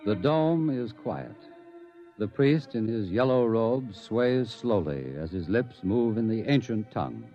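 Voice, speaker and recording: A man giving solemn, slow scripted narration in a 1950s radio drama. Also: lowish voice, expressive. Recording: muffled old radio broadcast over music.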